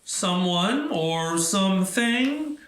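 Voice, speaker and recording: A man with a fairly high, very animated voice leads a children's storytime. He takes his time with simple phrases and dramatic pauses, in a roomy, echoing space. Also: slight background noise. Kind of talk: storybook narration and talk to children.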